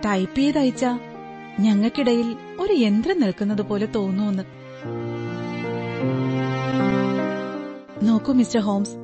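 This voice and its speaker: Give the part to a woman acting a devoted, trusting young bride-to-be in a radio play. Her voice sits fairly high, and she gives a polite, earnest, detailed account. Faint music runs behind her. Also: lightly acted. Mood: fond, earnest. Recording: radio drama with background music.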